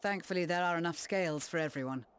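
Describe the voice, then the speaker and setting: An actor playing a calm leader in a game who reassures others while tending the sick. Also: male voice, on the high side, quick pace, clean tone, lightly acted. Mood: reassuring.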